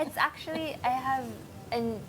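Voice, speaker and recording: A woman's voice: sits high, moderate pace, over a noisy crowd. A woman, an early learning teacher who voices a role, talking cheerfully about her experience.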